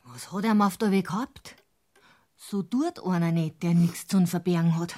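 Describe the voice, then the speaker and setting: A woman's voice: on the low side, normal speed, a little mellow, natural voice. An actress playing a blunt, suspicious village farm woman with a Bavarian accent in a German radio crime drama. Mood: suspicious, probing. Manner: plain-spoken, probing.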